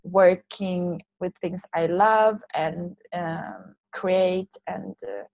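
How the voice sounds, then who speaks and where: A woman's voice: fairly low pitch, steady tone, extremely slow, vocal fry, dry recording, dull audio. A woman from Sweden, speaking loosely phrased second-language English as a guest in a casual interview. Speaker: interview guest, online language teacher and entrepreneur.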